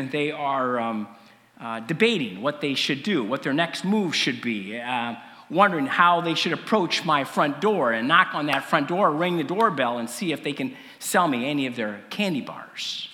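A male preacher with a slightly rough voice, giving a story-led sermon in a conversational, halting way with frequent pauses and throat clearing. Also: fairly high pitch, lively intonation, bright, forceful tone. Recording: reverberant hall.

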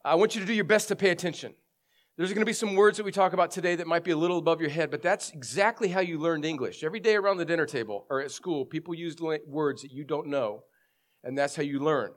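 A man's voice: on the high side, fast talker. A middle-aged male pastor with a head cold and scratchy throat, preaching a sermon earnestly, explaining and reading scripture with emphasis.

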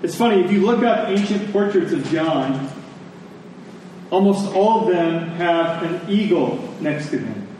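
A very reverberant room carries this American man's voice, picked up at some distance with faint noise behind it. He is a pastor giving a sermon in a conversational manner, breaking his thoughts into short, deliberate phrases. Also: a little high, lively intonation, darkish tone. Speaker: pastor preaching. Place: large church meeting room with congregation. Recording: echoey hall, distant mic, faint noise.

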